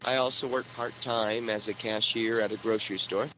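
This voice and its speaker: A man reading a scripted textbook listening passage slowly and clearly. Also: lowish voice, steady tone, pushed and bright. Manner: slow, clearly enunciated reading. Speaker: listening exercise recording. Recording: lo-fi playback with background noise.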